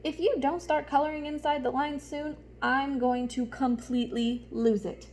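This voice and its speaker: A woman's voice: high voice, steady tone, normal speed, a little mellow, a little hiss or hum. A woman voicing a neat, fussy purple crayon from a picture book, scolding insistently. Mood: exasperated.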